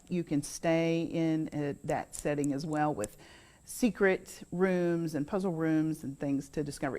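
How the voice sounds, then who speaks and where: Female, middle-aged, American. Slightly low voice, casual interviewee explaining her business. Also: a little mellow. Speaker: business owner being interviewed.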